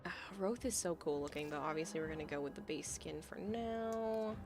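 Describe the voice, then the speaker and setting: Gravelly-voiced woman streamer. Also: low voice, brisk. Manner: thinking aloud, hesitant, soft at times. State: voice going hoarse. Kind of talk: casual game livestream chat.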